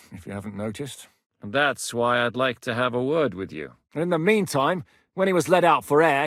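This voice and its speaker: An actor playing a battle-hardened warlord commander who gives blunt, commanding orders and swears freely in the fight, recorded in a dry studio. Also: male voice, mid-range voice, a bit bright, dry recording, natural voice. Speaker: military commander character. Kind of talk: video game voice lines.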